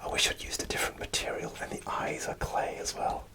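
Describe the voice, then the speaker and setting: A man whispering an ASMR show-and-tell in a soft, unvoiced whisper, hesitant and full of small fillers, partly from inside a costume helmet. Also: fairly quick. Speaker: ASMR creator.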